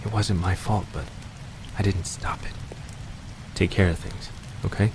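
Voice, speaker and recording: Casting: sorrowful, resigned widower in a noir game, deep voice, hesitant speech. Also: male voice, fairly even intonation, background noise. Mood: sad, resigned. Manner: halting, hesitant. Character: mournful, resigned, soft-hearted widower in a fairy-tale noir.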